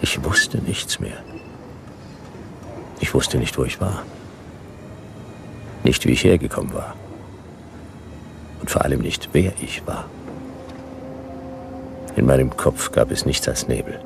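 An actor playing a laconic, world-weary, befuddled private detective, narrating a hard-boiled radio drama in clipped, deadpan sentences. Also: male voice, very deep voice, slow pace, gravelly, long pauses, noisy background, heavily acted. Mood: groggy, deadpan. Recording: music behind the voice.

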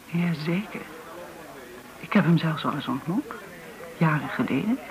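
An actor in a radio drama playing a knowing, cautious acquaintance who talks in a casual, understated way. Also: male voice, fairly high pitch, animated, unhurried, crowd noise, low-quality recording.

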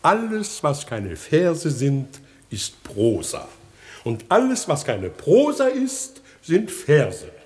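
An actor playing a pompous, gullible, aging bourgeois master in a 1960s German radio comedy, theatrical and self-important in manner. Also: male voice, fairly high pitch, wildly swooping pitch, takes it slowly, darkish tone, exaggerated voice. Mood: smug, exasperated.

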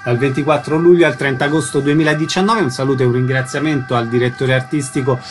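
A man's voice: medium pitch, fairly even intonation, quick pace, soft background music. A man hosting a radio show, giving concise announcer-style introductions and asking short questions. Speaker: radio host, interviewer. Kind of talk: radio presenting and interviewing.

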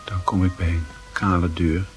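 A man narrating a literary radio play in a grave, measured manner, with a very husky, rough voice. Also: low voice, flat delivery, soft background music.

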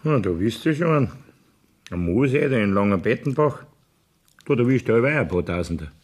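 An actor playing a sly, wary farmer in a 1970s radio play, speaking in a rustic manner with a Bavarian accent. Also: male voice, mid-range voice, expressive, normal speed, breathy edge. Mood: scheming. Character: sly, wary male farmer sheltering an outlaw.

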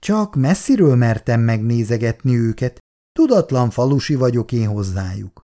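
A man's voice: medium pitch, very animated, dark tone. A man voicing a shy, modest young man newly arrived from the countryside.

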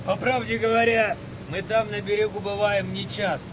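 An actor playing a terse, practical shipmate in a wartime audio play, speaking in a laconic, no-nonsense manner. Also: male voice, high-pitched, level tone, normal speed, background noise, close to an everyday voice.

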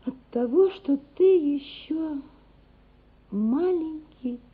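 An actress playing a loving, anguished gentry mother in a radio play, earnest, measured and emotional. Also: sits high, expressive, heavily acted. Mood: tender, reproachful. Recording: muffled, phone-like radio play recording.

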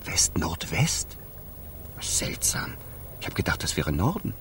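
A man's voice: low-pitched, big pitch swings, takes it slowly, a bit bright, whispered, exaggerated voice. An actor playing a boastful, carefree, scatterbrained male wind spirit in a children's radio play. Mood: puzzled muttering. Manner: blustery, singsong bragging, muttering when puzzled.

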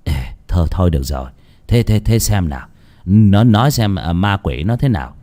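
A man voicing a gruff, scoffing older village husband with a blunt, grumbling manner in a ghost story. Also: fairly low pitch, very animated, a little slow, exaggerated voice. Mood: calmer, pondering.

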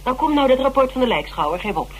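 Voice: sits high, big pitch swings, quick pace, fairly bright tone. A slightly noisy, humming old radio recording. an investigator in charge, bossy and impatient, with a dry, sardonic delivery. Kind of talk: Dutch radio drama acting.

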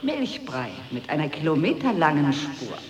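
A woman's voice: low-pitched, sing-song, normal speed, a little mellow, lo-fi sound. An actress playing a playful, rule-setting woman with a spiteful streak in an avant-garde radio play, speaking in cryptic, clipped lines. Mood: reminiscing.

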